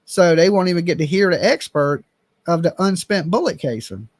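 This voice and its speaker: Blunt, opinionated high-voiced man. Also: animated, normal speed, no echo. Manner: blunt, opinionated, swears casually. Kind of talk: casual chat.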